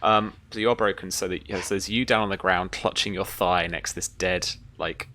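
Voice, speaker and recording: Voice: low voice, fairly even intonation, moderate pace, sharp tone. A game master running a tabletop roleplaying game in a casual way, talking players through rules and outcomes.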